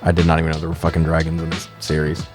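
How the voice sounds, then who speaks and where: A man's voice: extremely low pitch, monotone, rapid-fire. A man co-hosting a casual film review podcast, chatting and asking trivia in a blunt, informal, profane way. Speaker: podcast co-host. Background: music bed.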